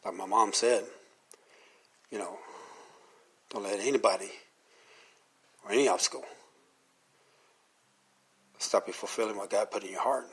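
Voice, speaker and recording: A man's voice: on the low side, fairly even intonation, a little slow, long pauses, fairly bright tone, husky. A man of fifty-nine giving an informal devotional talk in a rambling, conversational, earnest manner.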